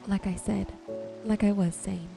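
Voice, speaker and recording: A woman giving gentle, soothing ASMR commentary over her gameplay in an unhurried way. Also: mid-range voice, steady tone, creaky. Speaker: gaming video creator. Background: music and rain sounds.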